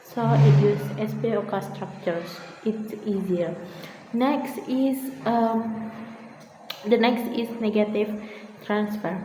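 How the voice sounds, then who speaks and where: A woman's voice: medium pitch, fairly even intonation, slow, dark tone, slight background noise. A young woman from Indonesia with an Indonesian accent, giving a spoken reading report as a student, hesitant with many fillers and restarts. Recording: echoey room with faint ambience.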